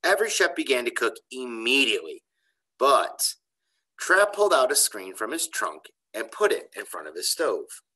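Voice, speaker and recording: A middle-aged man reads aloud as an elementary teacher would to children. His voice is bright and forceful, and it rises and falls a lot as he tells the story. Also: mid-range voice, big pitch swings, normal speed, breathy edge. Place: bright indoor school room. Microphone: computer webcam on a video call.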